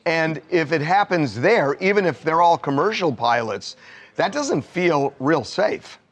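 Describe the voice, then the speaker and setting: Male host, conversational live news talk, slightly high voice. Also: lively intonation, measured pace.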